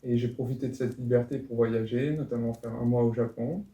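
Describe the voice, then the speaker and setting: A man's voice: medium pitch, fairly even intonation, brisk, mellow. A man in his twenties from France, a master's student, chatting casually about his studies. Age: 20s. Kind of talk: casual podcast chat. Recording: echoey room with faint background hum.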